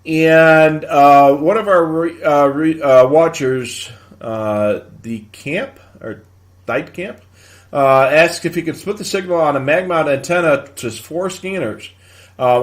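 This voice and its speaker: Man hosting a casual, halting tech chat. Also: mid-range voice. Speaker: live show host. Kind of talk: casual live tech advice, answering viewer questions.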